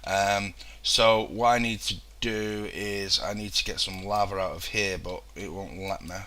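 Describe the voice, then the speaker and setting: A man's voice: fairly low pitch, steady tone, measured pace, sharp tone. A young man with a throat infection, rambling through casual let's-play commentary with lots of ums and restarts.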